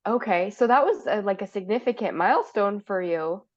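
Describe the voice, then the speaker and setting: A woman's voice: mid-range voice, animated, moderate pace, lo-fi sound. A woman hosting an interview, listening closely and asking follow-up questions with frequent short affirmations. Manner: attentive, frequent short backchannel replies. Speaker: podcast host. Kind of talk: interviewing a guest.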